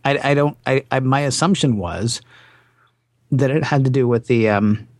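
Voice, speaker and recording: A man with a medium-pitched voice hosting a casual tech podcast. He poses curious, searching questions in a chatty manner. The voice is dry and close to the mic. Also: normal speed, dry recording. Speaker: podcast host.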